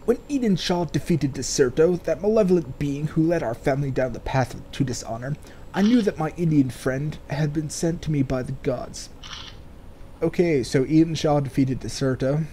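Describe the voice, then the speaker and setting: A man's voice: a little high, moderate pace, mellow, slight background noise, poor audio. A man hosting a let's play, with rambling, casual commentary and stretches of game text read aloud. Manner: rambling, speculative, sweary.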